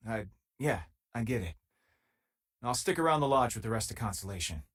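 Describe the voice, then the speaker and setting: Husky, easygoing space crewmate. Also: male voice, medium pitch, animated, a little fast, a bit bright. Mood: flustered, resigned. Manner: casual, conversational, dryly joking. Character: easygoing, wry male spacefaring crewmate, loyal and self-deprecating. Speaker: game companion character. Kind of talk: video game voice lines, naturalistic acting.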